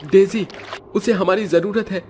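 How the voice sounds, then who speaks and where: A man's voice: high-pitched, fairly even intonation, unhurried, warm tone, slight background noise, voice pushed up. A man doing an earnest, urgent father.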